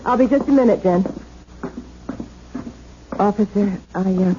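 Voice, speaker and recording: An actress playing a composed, confiding sister in a 1970s radio drama, polite, discreet and explanatory. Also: female voice, medium pitch, a little slow, natural voice. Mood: polite, brisk. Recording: muffled, hissy vintage radio broadcast.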